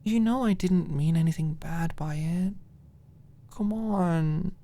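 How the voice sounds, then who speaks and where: A woman voicing a dramatic young woman in an audiobook, pleading in a soft, whiny, wheedling way. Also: fairly low pitch, slow pace, pitched above the performer's own voice. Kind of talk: audiobook character dialogue.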